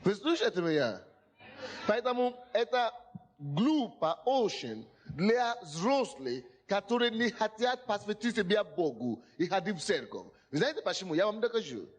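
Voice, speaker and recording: A man's voice: sits high, big pitch swings, unhurried. A male pastor speaking Russian as a second language, preaching emphatically to a congregation and repeatedly asking whether they hear him. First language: not Russian.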